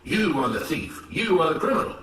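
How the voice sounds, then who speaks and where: A man's voice: fairly high pitch, very animated, a little fast, voice pushed up. A man voicing an authoritarian plantation boss in a story, lecturing on order and duty with pompous self-righteousness. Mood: accusing. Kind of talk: dramatized story reading. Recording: roomy, noisy, distant microphone.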